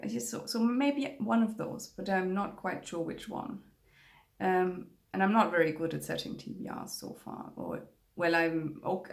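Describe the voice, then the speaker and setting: A woman's voice: on the low side, creaky. A young woman whose first language is German, chatting casually to camera about books, rambling with many fillers and restarts. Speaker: book vlogger. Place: home room with bookshelves. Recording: echoey room.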